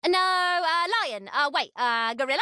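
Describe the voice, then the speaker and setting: A woman's voice: sits high, lively intonation, normal speed, bright, forceful tone, no echo, studio quality. A woman playing a flustered, bubbly, indecisive young woman in a video game. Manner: hesitant, scatterbrained. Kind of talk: game voice line.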